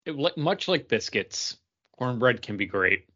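A man's voice: medium pitch, big pitch swings, unhurried, bright, forceful tone. A man co-hosting a podcast with blunt, joking banter. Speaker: podcast co-host. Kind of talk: casual chat, banter. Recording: dull, muffled audio.

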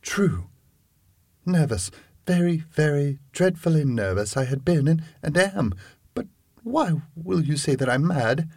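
A man playing a nervous, obsessive madman who insists he is sane, in a halting, emphatic dramatic reading of gothic horror. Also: a little high, sing-song, a little mellow, breathy edge, no room sound, clean studio sound, heavily acted. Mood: agitated.

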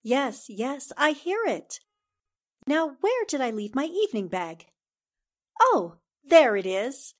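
Young female reporter for 1940s radio drama, played by a woman: high, animated, poised, teasing. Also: slow pace, exaggerated voice. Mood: breezy. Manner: breezy, teasing banter. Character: poised, methodical young newspaperwoman, good-humored.

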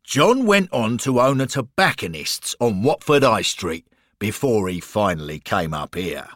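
A man voicing a cheery, keen guide to club history in a story, brisk, enthusiastic and informative. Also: lowish voice, big pitch swings, a bit bright, dry recording, clean studio sound, exaggerated voice. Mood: proud, encouraging.

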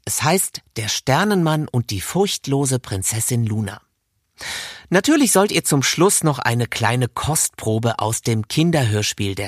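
A man's voice: medium pitch, no echo, studio quality. A man presenting a podcast in a scripted, direct-address style. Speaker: podcast host.

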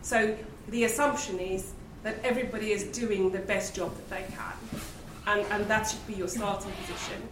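A woman speaking on a live leadership panel, warm and reflective, with easy laughs. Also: medium pitch, fairly even intonation, normal speed, hardly any pauses, background noise. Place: event venue with live audience. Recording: roomy, distant, live-event ambience.

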